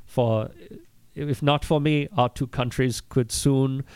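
A man's voice: mid-range voice, steady tone, takes it slowly, a little mellow, clear, resonant tone, dry recording, natural voice. A man playing a pompous German cultural ambassador in podcast comedy, speaking formally with a German accent and easily taking offence. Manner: formal, stilted, haughty, indignant. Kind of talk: improvised podcast character comedy.

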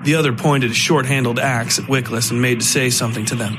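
A man reading scripted dark fiction as the narrator of a horror podcast. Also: medium pitch, steady tone, fast. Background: music.

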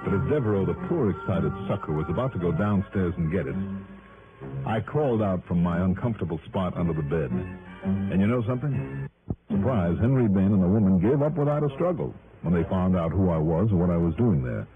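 A man's voice: low-pitched, animated, fairly quick. An actor playing a self-assured American investigator, narrating his case in a wry, conversational 1960s radio style. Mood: wry, satisfied. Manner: wry, conversational, punchy storytelling. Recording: tinny old radio broadcast with music.

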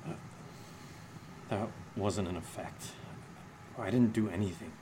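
An actor as a low-voiced, sardonic, shaken man. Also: noisy background, natural voice. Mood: shaken, halting. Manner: hesitant, halting, sarcastic. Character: sardonic, shaken man named Steve, joking through fear. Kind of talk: naturalistic horror audio drama acting.

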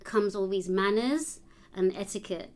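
A woman's voice: mid-range voice, a little slow, darkish tone. Chatty young woman from Uganda. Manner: chatty, lots of likes and ums. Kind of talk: casual chat.

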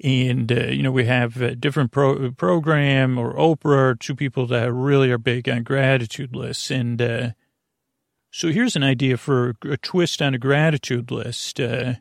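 Male sleep-podcast host, gravelly fry, dry studio sound, halting and meandering bedtime rambler who stumbles on words. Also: medium pitch, steady tone, no room sound.

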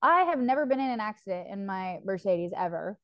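A woman's voice: medium pitch, lively intonation, fairly quick, muffled recording. Chatty young woman telling a story. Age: 20s. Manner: rambling storytelling with filler "like" and swearing. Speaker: podcast co-host. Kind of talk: casual chat.

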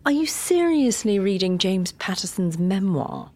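A woman's voice: mid-range voice, big pitch swings, measured pace, darkish tone. A woman playing a wry, easily exasperated crime writer in an audio drama, often muttering sardonically.

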